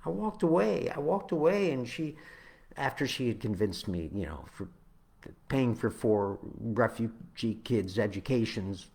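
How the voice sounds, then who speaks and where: Man reciting poetry, very slow, dramatically varied. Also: mid-range voice, animated, vocal fry. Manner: halting, deliberate phrasing with dramatic swells and hushed drops. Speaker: poet reading own poem. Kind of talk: dramatic spoken-word poetry reading.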